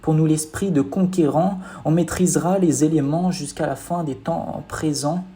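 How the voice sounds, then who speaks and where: Man reciting spoken-word poetry, soft dark tone. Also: fairly high pitch, steady tone, normal speed. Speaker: poet reciting own poem.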